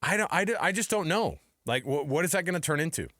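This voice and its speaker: A man's voice: fairly high pitch, animated, quick pace, pushed and bright, dry recording, studio quality. An American man hosting a sports show in casual, colloquial back-and-forth talk.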